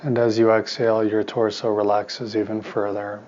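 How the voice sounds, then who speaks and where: A man teaching meditation in a soft voice, giving calm, soothing instructions in short, spaced phrases. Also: lowish voice, normal speed, highs cut off. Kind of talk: guided meditation.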